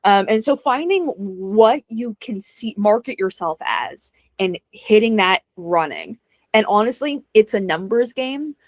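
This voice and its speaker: A woman's voice: medium pitch, no echo. A young adult woman chatting as an interview guest, self-deprecating and laughing often. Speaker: guest actor. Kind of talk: casual interview chat.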